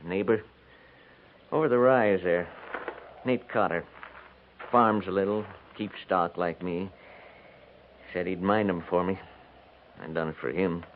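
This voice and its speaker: A noisy, tinny 1950s radio western. a homesteader, a man with a very low voice and rustic, folksy speech. He is weary, stubborn and short with words. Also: steady tone, a little slow, long pauses. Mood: plain, defensive.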